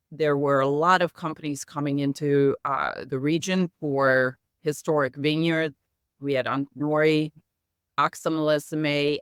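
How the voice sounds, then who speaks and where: A middle-aged woman with a near-American accent over her Hungarian first language, speaking in a measured, reflective way. Her voice is smooth and clear, recorded close and dry with no room sound. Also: sits low, no room sound. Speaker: guest, company founder. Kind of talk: podcast interview.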